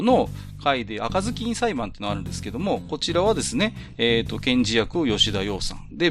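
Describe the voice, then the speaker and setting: Man podcasting over clearly audible music. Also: mid-range voice. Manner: polite, conversational explaining. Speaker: podcast host. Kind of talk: casual explanatory podcast monologue about TV. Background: music under the voice.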